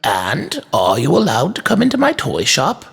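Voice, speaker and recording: A man performs an elderly storybook toy maker with a high, lilting, gravelly voice. He is clipped and courteous, a stern but kindly old gentleman. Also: wildly swooping pitch, unhurried, full character voice. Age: older. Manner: clipped, formally polite.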